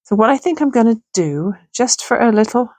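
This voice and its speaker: A middle-aged woman with a gravelly, hoarse voice, chatting casually and thinking aloud as she makes something at home. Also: fairly high pitch, lively intonation, brisk, warm tone, dry recording. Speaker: crafting channel host. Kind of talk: casual crafting tutorial chat. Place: home sewing room.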